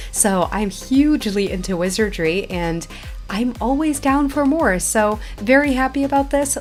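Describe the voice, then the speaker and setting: A woman hosting a game video, chatting casually and speaking directly to viewers as she plays. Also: medium pitch. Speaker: gaming video host. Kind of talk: casual game playthrough commentary.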